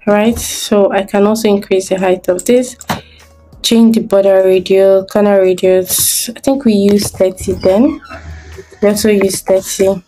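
A woman's voice: medium pitch, steady tone, unhurried, mellow, low-quality recording. A woman giving a screen-recorded design tutorial, thinking aloud step by step as she works.

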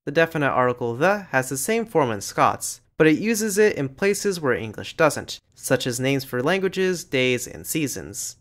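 A man giving scripted educational narration over slides, in a lively, explanatory way. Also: medium pitch, normal speed, hardly any pauses. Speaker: language channel narrator.

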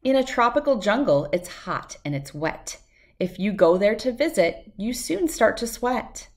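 A middle-aged woman reads a children's picture book aloud at a medium pitch. Her delivery is measured and follows the rhyme with a sing-song lilt. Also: big pitch swings.

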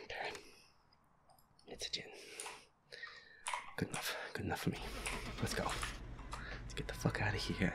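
Guy acting as feisty werewolf bf scared of a horror game, low whispery muttering, swears a lot. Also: vocal fry. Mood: rattled, resigned. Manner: sweary, jittery, muttering complaints under the breath. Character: feisty werewolf boyfriend, scared and grumbling while gaming. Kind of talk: boyfriend audio roleplay, improvised horror game reactions.

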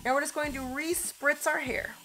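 Chatty woman beauty vlogger in her 30s, a touch high-voiced, faint music behind. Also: fairly even intonation, moderate pace, sharp tone. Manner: chatty, upbeat, coaching viewers. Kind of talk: hair tutorial talking to camera. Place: small indoor studio with grey backdrop.

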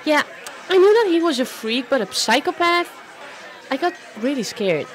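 A woman acting an expressive, wary and outspoken young woman in radio play dialogue. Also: high-pitched, normal speed, a faint crowd. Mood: animated.

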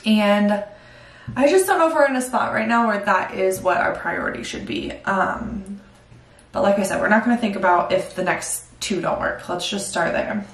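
A woman's voice: mid-range voice, moderate pace. A young woman talking candidly to the camera in a casual home vlog, chatty and full of fillers. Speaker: vlogger. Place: home bathroom, indoors. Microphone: far camera. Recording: roomy echo from far off.

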